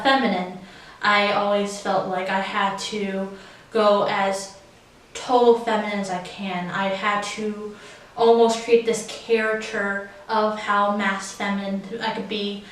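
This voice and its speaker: Reflective nineteen-year-old, distant echo. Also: female voice, mid-range voice. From Kentucky. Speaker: interviewee.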